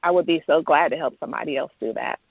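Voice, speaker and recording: A woman's voice: low voice, fast, vocal fry, breathy edge, no room sound. Dull, dry recording of a woman guest. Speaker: interview guest, author. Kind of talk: casual interview chat. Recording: dull lo-fi sound.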